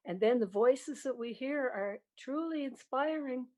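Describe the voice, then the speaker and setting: A woman's voice: high voice, a little slow, warm tone. An older woman, talking in an encouraging, plain-spoken way as an interview guest about her work. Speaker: music teacher, interview guest. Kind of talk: interview conversation.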